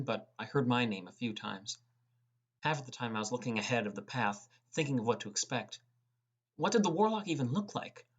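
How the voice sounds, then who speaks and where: A man's voice: medium pitch, fairly bright tone. A man reading first-person fantasy narration as an audiobook narrator. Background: music.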